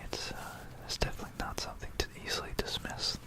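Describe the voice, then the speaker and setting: An ASMR creator whispering about history in a hushed, rambling, musing way, close into a stereo microphone. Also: fast. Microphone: close stereo binaural microphone.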